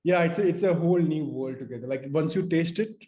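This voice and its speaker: A man's voice: on the high side, fairly quick, mellow. A man from India hosting a casual podcast interview, asking long, rambling questions. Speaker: podcast host.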